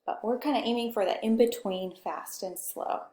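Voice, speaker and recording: A soft-spoken woman with a Pacific Northwest accent giving a conversational recorded course lecture, often checking in with "okay". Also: on the high side, moderate pace, reverberant. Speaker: instructor.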